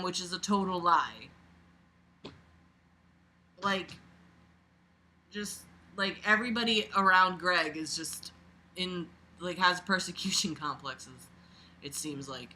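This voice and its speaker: A woman with a very bright, sharp-edged voice at a middling pitch reads aloud in a casual, expressive way. She drops in sarcastic, informal asides and swears freely as she mocks the text. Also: poor audio. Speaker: host reading a book aloud with commentary. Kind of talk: casual mocking read-aloud with off-the-cuff asides.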